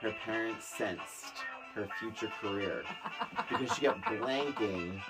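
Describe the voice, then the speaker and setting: A woman co-hosting a podcast, chatting casually about a film with animated, emphatic delivery and repeated phrases. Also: extremely low pitch, unhurried, over loud music. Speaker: podcast co-host. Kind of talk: casual chat reviewing a film.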